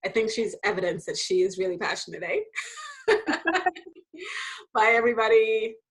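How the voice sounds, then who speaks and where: Lively woman hosting a faith chat. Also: moderate pace, poor audio. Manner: lively, jumps into high voices when quoting people, laughs often. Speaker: host. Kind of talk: casual faith conversation.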